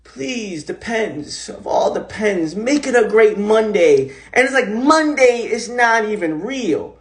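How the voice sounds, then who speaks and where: A man hosting a casual, animated motivational talk on a phone livestream. He repeats himself often and swears casually. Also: high-pitched, slow. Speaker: host of an Instagram live.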